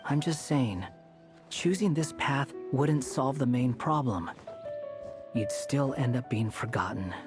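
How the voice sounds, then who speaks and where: A man plays a young wanderer from a fantasy game, aloof and skeptical. His medium-pitched voice is dry and matter-of-fact with a sardonic edge. Music plays clearly behind him. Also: takes it slowly, close to an everyday voice. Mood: dry, cautious.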